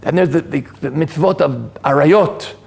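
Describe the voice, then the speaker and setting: A man's voice: on the high side, measured pace, vocal fry, darkish tone, breathy edge. A man with an Israeli accent, a native Hebrew speaker, giving an informal lecture to a live audience with joking asides and frequent restarts. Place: community hall with live audience.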